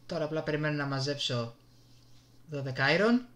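A man's voice: fairly high pitch, animated, fairly bright tone. A man with a Greek accent giving casual, exasperated gameplay commentary, with some swearing, into a desktop microphone. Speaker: gaming video host. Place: indoors at a computer.

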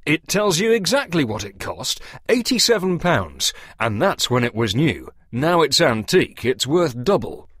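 A man's voice: medium pitch, very animated, a little slow, a bit bright, dry recording, clean studio sound. A man voicing a blunt, impatient countryman who bargains hard, in a storybook reading. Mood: insistent haggling. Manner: blunt, insistent. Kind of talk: storybook character dialogue.

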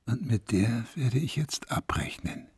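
An actor playing a deranged, vengeful madman in a German radio play, hushed and husky, savoring his cruel fantasies word by word. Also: medium pitch, animated, measured pace, no echo, heavily acted. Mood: bitter, menacing. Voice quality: husky, gravelly, breathy whisper.

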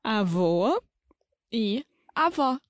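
A woman's voice: on the high side, big pitch swings, drawn-out words, no room sound, studio quality. A Brazilian woman co-hosting a casual podcast that teaches Portuguese, who laughs often and pronounces words carefully. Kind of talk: casual language-teaching chat.

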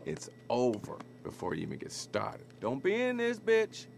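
An actor with an American accent playing a swaggering, flirtatious street hustler in a video game cutscene, with slangy, cocky smooth talk. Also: male voice, medium pitch, huge pitch swings, normal speed, a little hiss or hum. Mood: warning, cocky.

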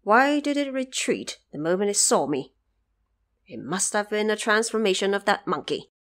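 A woman voicing a stern, commanding male warrior god in an audio drama, speaking deliberately. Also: mid-range voice, big pitch swings, fairly bright tone. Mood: musing. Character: stern commanding male divine warrior lord pursuing a foe.

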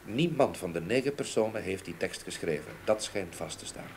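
An actor playing a grieving, haunted older gentleman in a Dutch radio play, speaking in a halting, brooding way. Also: low voice, lively intonation. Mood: composed, reserved. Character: grieving, haunted older gentleman seeking revenge for murdered niece. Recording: old radio play recording, background noise.

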